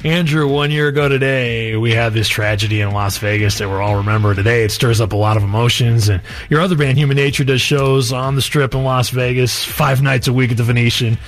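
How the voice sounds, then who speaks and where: A man hosting a radio interview, with an upbeat and smooth delivery. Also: medium pitch, steady tone, a little fast, few pauses, faint music behind. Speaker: radio host.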